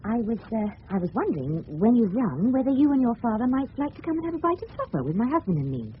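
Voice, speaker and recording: Friendly, playful English housewife, highish voice swooping widely, tinny old radio recording. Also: female voice, big pitch swings, fast. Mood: hesitant, playful. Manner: polite, hesitant, gently playful. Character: friendly, playful married woman neighbour. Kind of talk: 1970s radio drama dialogue. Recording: tinny, faintly noisy old radio broadcast.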